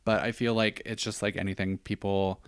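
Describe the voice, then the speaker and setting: Chatty low-voiced man with a slight lisp. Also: level tone, fairly quick, clear, resonant tone, dry recording, clean studio sound. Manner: chatty, rambling with many fillers. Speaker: podcast co-host; professional hair stylist. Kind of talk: casual chat.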